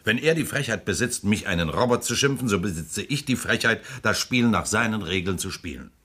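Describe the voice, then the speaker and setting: A man's voice: fairly low pitch, lively intonation, a little fast, fairly bright tone, husky. A man playing a suave, amused prosecutor and politician in a radio play, ironic and self-assured, laughing often. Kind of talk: German radio play acting.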